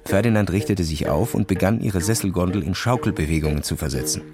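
A man's voice: low-pitched, fast talker, music in the background. A man narrating a scripted children's audio story as its storyteller.

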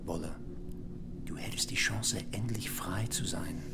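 Leiser, herablassender Strippenzieher. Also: male voice, sits low, unhurried, vocal fry, very noisy, heavily acted. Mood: quietly smug. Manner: soft, condescending, slips in English phrases. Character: smooth, manipulative male handler addressing an old acquaintance. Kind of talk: German radio crime drama acting.

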